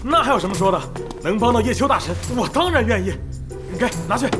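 A performer playing a starstruck, excitable young gamer fan in a Chinese audio drama. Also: medium pitch, fast, over music, close to an everyday voice. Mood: eager.

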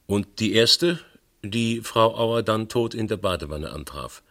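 An actor playing a methodical police investigator in a radio play, asking clipped, probing questions with polite insistence. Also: male voice, lowish voice, animated, a little slow, gravelly, bright, forceful tone, echoey room, full character voice. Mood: polite, insistent. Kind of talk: German radio play acting.

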